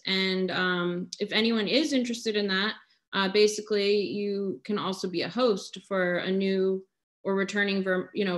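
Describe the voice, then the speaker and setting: Woman, 30s, from Vermont, meeting chair, video call from home, halting with ums, mid pitch. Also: a bit bright. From Vermont, USA. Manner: halting, many filler pauses. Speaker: chapter chair and organizer. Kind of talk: casual meeting remarks and introduction. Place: home room at night, lamp-lit. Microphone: laptop webcam on a video call.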